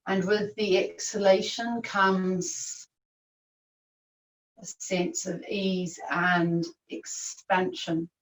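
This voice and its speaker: Female yoga nidra teacher, lowish voice, soothing guided meditation, very unhurried delivery, recorded in an echoey room. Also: level tone. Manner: soothing, unhurried, long pauses. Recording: echoey room, distant microphone.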